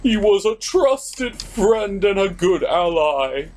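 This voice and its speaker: A man's voice: high-pitched, very animated, takes it slowly, darkish tone, a little hiss or hum, exaggerated voice. A man voicing a whiny, melodramatic puppet superhero who sulks like a crybaby in a comedy skit. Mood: melodramatic grief. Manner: whiny, overdramatic. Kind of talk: comedic puppet show banter.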